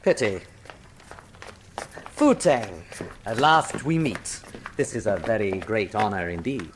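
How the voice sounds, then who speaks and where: Suave, menacing colonel villain, swooping voice. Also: male voice, fairly low pitch, expressive, takes it slowly, noisy background. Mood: sardonic, courteous. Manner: suave, theatrical, mocking. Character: menacing, urbane villainous colonel, male, sardonic and cruel. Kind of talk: radio drama acting.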